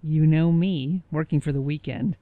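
An actress playing an older, sour small-town office assistant in a radio play, talking in a dry, gossipy way. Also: female voice, low-pitched, moderate pace, dry recording, phone-quality sound, natural voice. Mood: dryly chatty.

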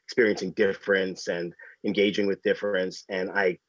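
Very deep-voiced man on a video call. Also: little pitch movement, brisk, dry recording. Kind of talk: interview remarks over video call. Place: indoors, plain blue backdrop. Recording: dry, dull video-call audio.

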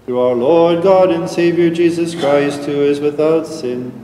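Middle aged priest chanting slow prayers, mellow voice, big echoey church, faint organ behind. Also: male voice, fairly high pitch, a little hiss or hum. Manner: slow solemn intoning, partly sung. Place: large church sanctuary at the altar. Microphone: far camera across the church. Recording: echoey church with long reverb.

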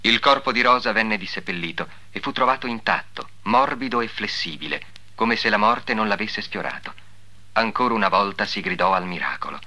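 A man's voice: lowish voice, fairly quick, sharp tone. A man narrating in a formal, declamatory 1950s devotional documentary style, with solemn delivery. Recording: old 1950s soundtrack, music behind.

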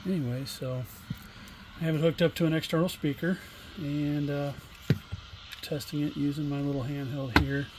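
A middle-aged man giving a casual, unscripted product demonstration in a plainspoken, halting way. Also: on the high side, steady tone. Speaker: online seller demonstrating used radio equipment. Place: indoor home workshop bench. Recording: room noise, homemade camera recording.

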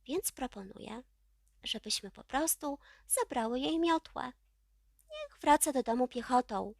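A woman's voice: sits high, animated, measured pace, creaky, dry recording, studio quality, heavily acted. A woman voicing a stern old chief witch who speaks in an imperious, emphatic manner, as in a children's storybook. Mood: decisive.

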